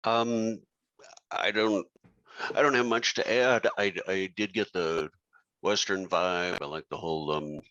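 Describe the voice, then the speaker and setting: A man giving casual, halting, understated feedback on a lo-fi video call. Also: low voice, fairly even intonation, a bit bright, no echo. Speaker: table read participant. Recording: dry, lo-fi video call audio.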